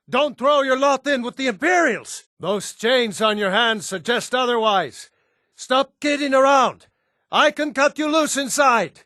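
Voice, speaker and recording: A rugged Nord rebel, dry studio sound. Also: male voice, high-pitched, bright, forceful tone, no echo, exaggerated voice. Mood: urgent, insistent. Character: rugged, steadfast Nord rebel man, wry and urgent. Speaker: video game voice actor. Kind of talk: fantasy game dialogue lines.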